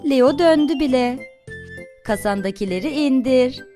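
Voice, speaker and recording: A woman narrates a cartoon for small children in a high voice, taking her time. She speaks simply and expressively, putting questions to the characters, over faint music. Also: lively intonation, unhurried, mellow.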